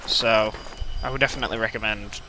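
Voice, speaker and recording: A man's voice: lowish voice, steady tone, fairly quick, sharp tone, rough recording. A man giving casual let's play commentary, self-deprecating and full of wry asides. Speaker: gaming video host. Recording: clear game noise behind voice. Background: game gunfire and ambience.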